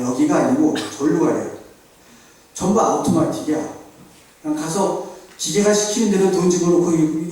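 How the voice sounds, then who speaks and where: A middle-aged male pastor from Seoul delivers a conversational sermon full of anecdotes and rhetorical questions. His voice sits slightly high but sounds mellow and soft-edged. It carries from a distance through a very echoey church hall. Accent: Seoul Korean. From South Korea. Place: church sanctuary.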